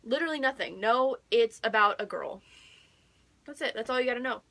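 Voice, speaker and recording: High, bright-voiced gushing young American woman. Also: brisk. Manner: gushing, emphatic, repeats words for emphasis. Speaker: book vlogger. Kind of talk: casual excited chat to viewers.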